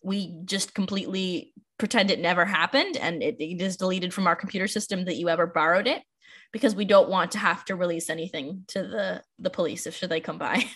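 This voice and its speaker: A woman's voice: lowish voice, brisk, fairly bright tone, breathy edge. An American woman from Washington, D.C., chatting casually as a podcast host and librarian, with rambling, self-deprecating asides. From Washington, D.C., United States. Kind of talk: casual chat.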